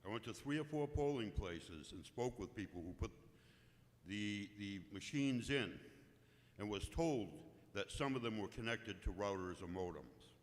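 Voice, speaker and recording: A man with a booming voice, blunt and indignant, testifying as a citizen at a public hearing. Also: lively intonation, moderate pace. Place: legislative committee hearing room. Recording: long hall reverb, off-mic at times.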